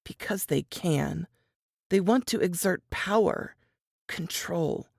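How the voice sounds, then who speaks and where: Woman playing a low, protective female inmate. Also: lively intonation, slow pace, creaky, darkish tone, no echo, clean studio sound, exaggerated voice.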